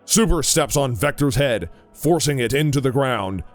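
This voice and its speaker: Male narrator, medium pitch, scripted third-person storytelling for audio drama, faint music beneath. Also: animated, normal speed.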